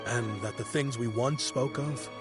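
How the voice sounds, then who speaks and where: A man's voice: medium pitch, a little slow, natural voice. An actor playing a sincere young man, a loyal friend, in an anime game. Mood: reflective, sincere. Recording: game music behind.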